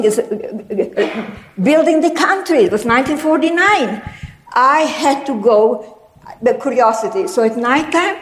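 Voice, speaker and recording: A woman in her eighties whose first language is German, telling her life story to an audience in a rambling, lively way with many restarts. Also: on the high side, reverberant, a little hiss or hum, poor audio. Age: 80s. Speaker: guest speaker.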